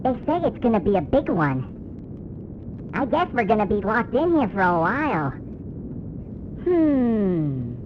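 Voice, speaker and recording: Slow, lilting, cheery kids' show hostess played by a woman. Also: mid-range voice, very animated, muffled recording, noisy background. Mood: playful, curious. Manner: sing-song, slow, exaggerated delivery to viewers. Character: cheerful female children's show host, playful and bossy. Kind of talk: children's show parody in amateur analog horror animation.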